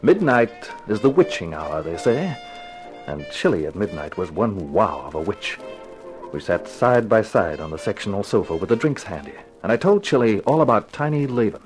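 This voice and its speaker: An actor playing a cynical, wisecracking hardboiled private detective in a 1950s radio crime drama, wry and flippant. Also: male voice, lowish voice, very animated, moderate pace, music in the background, low-quality recording. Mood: wry, flirtatious. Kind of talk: 1950s radio crime drama acting with first-person narration.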